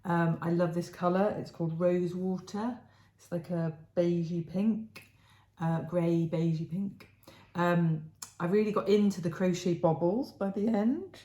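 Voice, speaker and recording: A middle-aged woman from England, co-hosting a knitting podcast in casual, rambling chat, her voice still croaky from illness. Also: on the low side, a little slow, few pauses, mellow, echoey room. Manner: chatty, rambling, many fillers. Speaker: podcast co-host. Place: home room.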